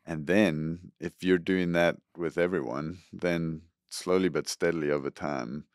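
Middle-aged male school leader, very deep, dry close-mic studio sound, earnest and measured. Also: fairly even intonation, dry recording. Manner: earnest, measured, repeats words when starting. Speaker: school superintendent, guest. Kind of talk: reflective interview answers. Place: podcast studio room. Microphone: close studio boom mic.

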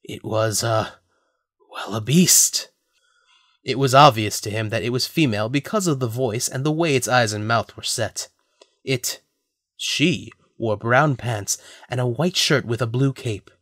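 A man's voice: medium pitch, measured pace, fairly bright tone, dry recording, clean studio sound. A man narrating a scripted audio drama as a storyteller.

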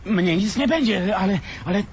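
A tipsy, boastful brother in a radio drama; slightly high voice, faint hiss. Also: male voice, lively intonation, moderate pace. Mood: defiant. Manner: drunken bravado turning to quiet regret. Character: drunk adult brother Adam, boastful then broken. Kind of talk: radio play acting.

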